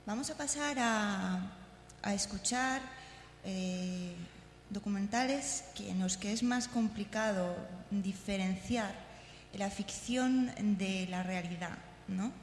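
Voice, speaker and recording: A woman's voice: mid-range voice, takes it slowly. A woman presenting live to a conference, speaking informally as she explains. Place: echoey congress venue. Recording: echoey hall, faint hum.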